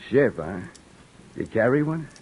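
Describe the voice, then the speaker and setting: Brief: a tired, dry-humored beat cop, mid-pitched, streetwise talk, old-time radio play. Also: male voice, sing-song, unhurried, darkish tone, slight background noise. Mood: wry. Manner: dry wisecracking, streetwise slang. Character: wry, weary male beat cop, adult. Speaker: police officer narrating. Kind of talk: 1950s radio drama acting.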